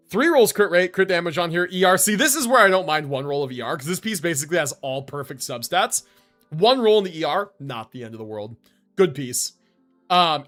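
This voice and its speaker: A man's voice: fairly high pitch, fairly bright tone. A man streaming live, reviewing game builds in casual commentary and thinking aloud as he goes.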